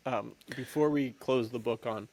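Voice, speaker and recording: A man with a medium-pitched, creaky voice talks as a podcast co-host. He sounds easygoing and informal, with plenty of vocal fry as he chats about films. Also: normal speed, a little mellow. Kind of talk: casual film discussion chat.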